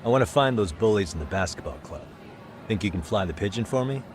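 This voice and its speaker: A man's voice: lowish voice, animated, fast, soft background music, close to an everyday voice. An actor playing a composed, wry male investigator in a video game, with a casual, dry, self-assured delivery. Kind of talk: video game dramatic acting.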